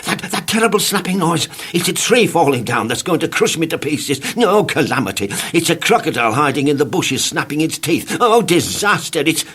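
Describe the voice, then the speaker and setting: A man's voice: on the high side, big pitch swings, moderate pace, few pauses, a bit bright, breathy edge, pitched above the performer's own voice, full character voice. A man voicing a nervous little man who shrieks and stammers in melodramatic panic. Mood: panicky.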